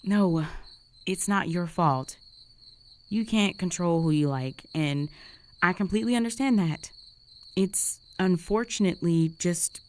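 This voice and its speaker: A slightly low-voiced young female roommate, acted by a woman in a romantic audio roleplay. She is jealous and easily flustered, hiding a crush. Her delivery is intimate, conversational and hesitant, with feelings close to the surface. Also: measured pace, darkish tone, natural voice. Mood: dejected, resigned. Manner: conversational, hesitant, sighing, emotionally exposed.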